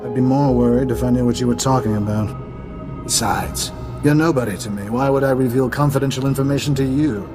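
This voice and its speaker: An actor playing a shady retired tycoon in a video game, guarded, brusque and condescending. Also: male voice, medium pitch, fairly quick, close to an everyday voice. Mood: dismissive, guarded. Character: wary, dismissive, shady retired male tycoon. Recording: faint game music behind the voice.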